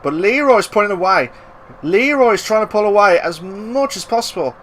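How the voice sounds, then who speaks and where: A man giving live racing commentary, excitable and bursting into loud exclamations at the action. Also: high-pitched, moderate pace, slight background noise. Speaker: race commentator.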